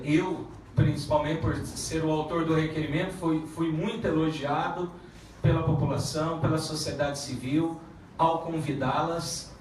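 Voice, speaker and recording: A man who is a federal deputy giving a halting public speech at a meeting, with many restarts and fillers. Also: fairly high pitch, a little hiss or hum. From Paraná, Brazil. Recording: echoey room from far off.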